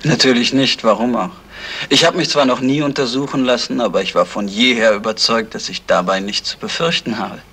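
A man's voice: medium pitch, fairly quick, sharp tone, close to an everyday voice. An actor playing a polite, confident company man in a 1970s radio crime drama, speaking formally. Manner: formal, courteous. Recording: vintage radio recording, faint hiss.

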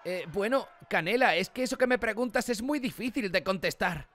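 A woman's voice: medium pitch, lively intonation, fairly quick, a bit bright, soft background music, natural voice. A woman with a Spain accent voicing an exasperated, sensible young male mayor in a cartoon parody. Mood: weary, reasoning. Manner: incredulous, exasperated, colloquial. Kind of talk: animated parody fandub acting.

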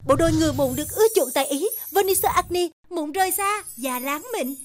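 Very high-pitched woman advert announcer. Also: brisk. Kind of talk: upbeat scripted skincare advertisement. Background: music and chimes.

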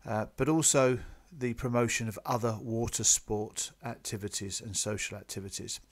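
A man presenting club business to members in a measured, businesslike, explanatory way, partly from a script. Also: mid-range voice, steady tone. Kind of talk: slide presentation, semi-scripted explanatory talk.

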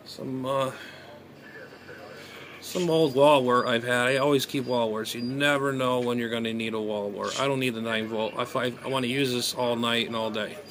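A man's voice: medium pitch, fairly even intonation, normal speed, a bit bright. A man in his forties giving casual unscripted gadget commentary as a hobbyist vlogger, hesitant and thinking aloud in a muttering way. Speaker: amateur radio hobbyist vlogger. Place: small bedroom, indoors. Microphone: phone at arm's length. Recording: noisy phone recording with room sound.

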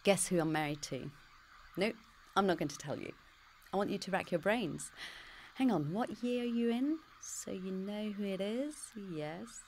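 A woman's voice: medium pitch, normal speed, darkish tone, slight background noise, natural voice. A woman with a British accent voicing a computer-generated future self, cheeky, teasing and always dodging the question. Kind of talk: pre-recorded game dialogue.

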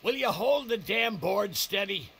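An actor playing a bitter, hostile older father in a video game, barking insults in a gruff, raspy voice. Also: high voice, animated, a little slow, a bit bright, a little hiss or hum, heavily acted. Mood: scornful anger.

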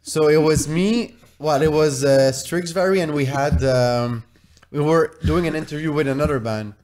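A man's voice: on the high side, normal speed, a little mellow. A man co-hosting a podcast, telling an anecdote casually in a rambling way and repeating himself. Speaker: podcast co-host.

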